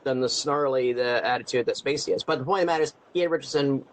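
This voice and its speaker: A man with an American accent chatting as a radio interviewer, talkative and enthusiastic, with halting starts. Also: mid-range voice, poor audio. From United States. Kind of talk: casual fan chat.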